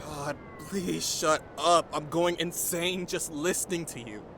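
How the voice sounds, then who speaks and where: A man's voice: fairly high pitch, steady tone, a little slow, background noise. An actor playing an exasperated, earnest young man in an audio drama.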